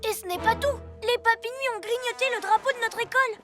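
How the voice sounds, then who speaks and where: A performer voicing a plucky, touchy small boy superhero in a children's cartoon, animated and a bit whiny. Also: very high-pitched, fast talker, over music. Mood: excited.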